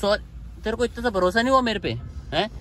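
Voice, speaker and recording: A man's voice: high-pitched, expressive, fast talker, a bit bright. A young man vlogging from a car, pleading and teasing, breaking into laughter now and then. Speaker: prank vlogger. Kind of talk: casual vlog chat. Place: inside a parked car, outdoors on a street. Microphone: camera mounted on the dashboard. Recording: noisy car interior with street noise.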